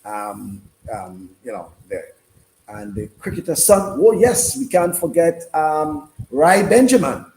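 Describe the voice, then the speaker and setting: A man hosting a casual live sports discussion, speaking haltingly and repeating himself. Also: on the high side, very animated, a little mellow. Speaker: sports radio host. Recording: roomy, noisy room sound.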